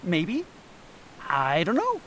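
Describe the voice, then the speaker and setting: An actor playing a reluctant, troubled anthropologist in an audio drama, measured and hesitant, with earnest, evasive delivery. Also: male voice, high-pitched, huge pitch swings, fairly bright tone, slight background noise, heavily acted, rough recording. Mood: hesitant, guarded.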